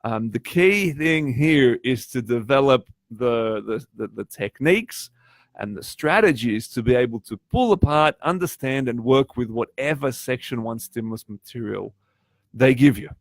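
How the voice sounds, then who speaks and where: A man's voice: medium pitch, lively intonation, moderate pace, no echo. Polish-born guy coaching live, chatty, keeps asking the viewers stuff, dry close sound. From Poland. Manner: chatty, asks the audience questions. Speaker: test prep tutor. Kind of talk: live-streamed coaching talk.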